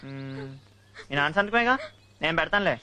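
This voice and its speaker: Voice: medium pitch, animated, fairly quick, bright, forceful tone, slight background noise. A performer playing a bold, cheeky and protective schoolboy in film dialogue, talking in a confident, casual way. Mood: breezy confidence.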